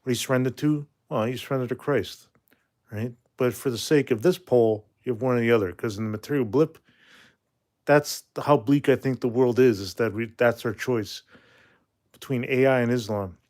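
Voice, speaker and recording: A man's voice: medium pitch, moderate pace, darkish tone. Rambling Canadian man in his forties. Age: 40s. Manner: rambling, reads viewer comments aloud, blunt. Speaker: livestream host. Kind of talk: casual livestream chat reading comments.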